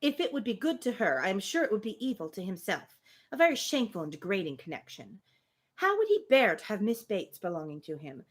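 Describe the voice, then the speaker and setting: A woman's voice: mid-range voice, sing-song, a little fast. A woman reading a spirited, opinionated young woman from a Regency novel, emphatic and formal. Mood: indignant.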